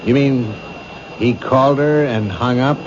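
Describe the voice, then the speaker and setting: An actor playing a skeptical, dry American police captain with a gruffly considerate streak in a radio drama. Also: male voice, mid-range voice, measured pace, lightly acted. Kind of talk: old-time radio drama acting. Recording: noisy, muffled vintage radio broadcast.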